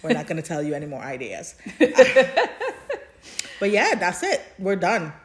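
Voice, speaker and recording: A woman's voice: lowish voice, big pitch swings, measured pace. A woman co-hosting a podcast in casual chat, speaking emphatically and repeating phrases for emphasis. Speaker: podcast co-host.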